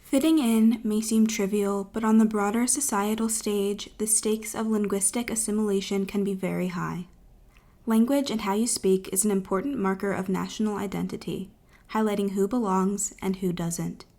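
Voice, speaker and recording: A woman reading a podcast's scripted narration in a measured, even way. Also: mid-range voice.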